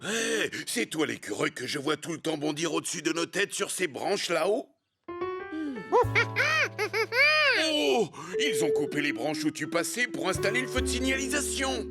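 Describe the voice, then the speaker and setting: An actor voicing an earnest, scolding but kind officer in a children's cartoon, with a lecturing, theatrical delivery. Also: male voice, a little high, very animated, moderate pace, few pauses, loud music behind the voice. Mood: apologetic, resolute. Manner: lecturing, theatrical, swinging intonation.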